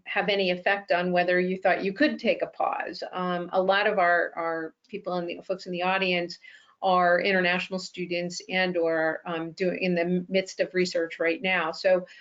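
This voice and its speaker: Lower-voiced woman hosting a muffled webinar. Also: little pitch movement, moderate pace, fairly bright tone. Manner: hesitant, many ums. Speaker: webinar host. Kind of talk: webinar interview. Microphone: video call.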